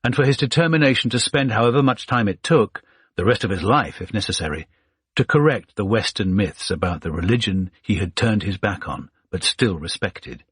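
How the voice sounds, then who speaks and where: Husky low-voiced male audiobook narrator. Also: no room sound, clean studio sound. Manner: measured, even storytelling. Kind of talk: scripted thriller narration.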